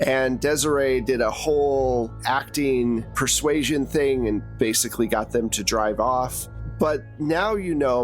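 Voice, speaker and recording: A man narrating a tabletop roleplaying game as game master, telling the story conversationally and speaking straight to the players. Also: medium pitch.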